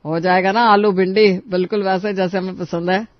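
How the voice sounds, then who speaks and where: Brief: man voicing a hearty old father-in-law, high for a man, dull mic. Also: steady tone, normal speed, dry recording, close to an everyday voice. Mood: jovial. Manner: hearty, teasing. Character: older father-in-law, jovial and fond of food. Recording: dry, slightly muffled studio voice.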